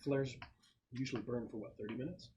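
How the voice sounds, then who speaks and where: A man acts as game master for a tabletop role-playing game. His voice sits at a middle pitch, and he describes scenes in halting phrases, pausing to think. There is faint background noise on the recording. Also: fairly even intonation, muffled recording.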